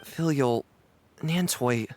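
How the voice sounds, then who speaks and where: A man's voice: medium pitch, slow, darkish tone, lightly acted. A man voicing a dutiful young agent resigned to punishment, apologetic and solemn, in a dramatic video game scene.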